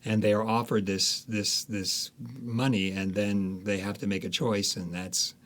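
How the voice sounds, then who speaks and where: Guy author being interviewed, lowish voice, kinda halting, repeats himself while thinking out loud. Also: level tone. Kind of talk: conversational interview.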